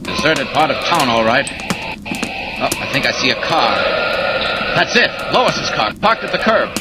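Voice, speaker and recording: An actor playing a brisk heroic newspaper reporter with a secret identity, in urgent 1940s radio serial style. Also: male voice, medium pitch, very animated, over loud music. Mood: alert, suspicious. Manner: urgent, self-narrating, stammering when pressed. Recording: noisy vintage 1940s radio recording.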